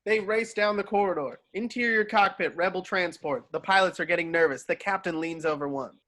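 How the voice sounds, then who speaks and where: Higher-pitched man narrating a screenplay. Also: brisk, bright, forceful tone. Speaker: narrator reading stage directions. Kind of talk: scripted narration at a table read.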